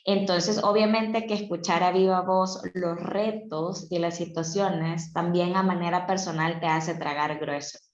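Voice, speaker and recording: A woman's voice: on the low side, steady tone, unhurried, few pauses. A woman speaks reflectively and expressively on a video call. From El Salvador, half Venezuelan. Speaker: participant in a group talk. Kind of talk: reflective casual talk. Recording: echoey room, dull video-call audio.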